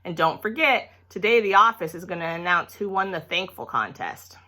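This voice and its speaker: An upbeat, encouraging schoolteacher, a man as a woman reader plays him, addresses his class in a bright voice. The delivery is that of a children's storybook. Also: lowish voice, lively intonation, moderate pace. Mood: cheerful. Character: cheery, encouraging male schoolteacher.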